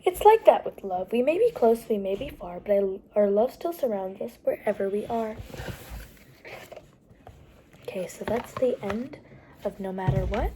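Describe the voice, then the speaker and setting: A woman reading picture books aloud to young children as a storytime host, animated and pausing to explain things to the listeners. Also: mid-range voice, a little hiss or hum. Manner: animated, explains pictures and words as she goes.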